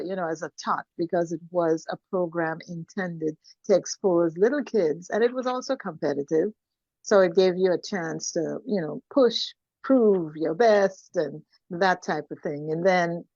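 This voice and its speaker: An older woman with a Trinidadian accent, telling her life story conversationally as an interview guest, in an expressive, unhurried way. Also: lowish voice, no echo, highs cut off.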